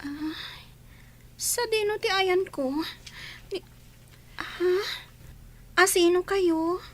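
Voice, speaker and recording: A woman playing a frightened young schoolgirl who pleads haltingly and respectfully in an Ilocano radio drama. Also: extremely high pitch, a bit bright, exaggerated voice. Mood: timid pleading.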